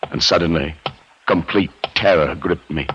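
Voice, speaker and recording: An actor playing an anxious, haunted scholar who narrates his ordeal gravely and dramatically in the style of 1940s radio drama. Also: deep voice, unhurried. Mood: mounting fear. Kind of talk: 1940s radio drama acting, suspenseful first-person narration. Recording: muffled 1940s radio broadcast recording. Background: dramatic background music.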